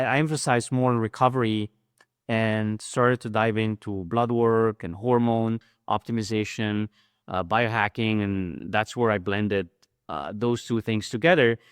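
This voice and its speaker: A man's voice: mid-range voice, fairly bright tone, clear, resonant tone, dry recording, clean studio sound. A middle-aged man from Switzerland, a coach speaking as a guest in a casual interview, earnest and fluent, often tagging "right?".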